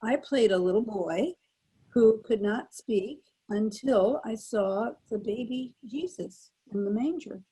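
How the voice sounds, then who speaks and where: A woman's voice: on the high side, slow, warm tone, slightly rough, no room sound, low-quality recording. A woman in her eighties, a former actress, reminiscing casually as a panel guest.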